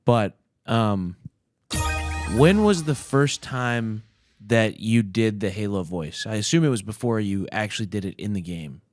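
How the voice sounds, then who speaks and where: A man's voice: lowish voice, lively intonation, a little slow, a little mellow, soft background music. A man interviewing a guest in a casual, chatty style. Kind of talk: casual chat.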